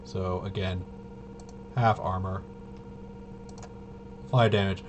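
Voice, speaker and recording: Slow-talking man with a lowish voice, muttering. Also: steady tone, slight background noise. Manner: halting, muttering to himself as he works things out. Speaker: tabletop RPG player and presenter. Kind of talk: casual solo gameplay commentary, thinking aloud.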